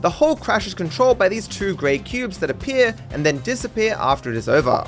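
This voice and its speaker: A man's voice: high-pitched, sing-song, brisk. A man delivering scripted, comedic game commentary with a sardonic, mock-dramatic manner. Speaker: video host and narrator. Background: background music.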